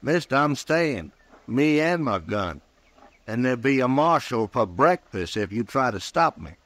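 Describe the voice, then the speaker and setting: A man's voice: mid-range voice, big pitch swings, a little slow, fairly bright tone, no echo. A man playing a boastful, defiant drunken cattle cowboy with a Western American drawl in an old-time radio western.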